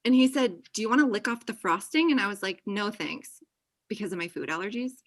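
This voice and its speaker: A woman playing a chatty, high-energy friend in a comedy table read on a video call, with an animated, gushing manner. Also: a little fast, natural voice. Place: small indoor room on a video call. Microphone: computer mic on video call.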